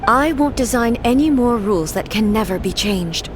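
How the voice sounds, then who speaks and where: A woman voicing a stern, solemn female god-ruler in a game, speaking in a measured, formal, calm way over steady background noise. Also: fairly high pitch, close to an everyday voice.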